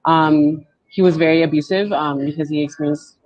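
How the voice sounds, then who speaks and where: A woman in her twenties, speaking softly and haltingly as she tells her story on a video call panel. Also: low voice, steady tone, no room sound. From Canada. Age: 20s. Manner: soft-spoken, halting and reflective. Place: indoors at home, on a video call. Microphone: wired earbuds with mic. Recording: dull lo-fi video call audio.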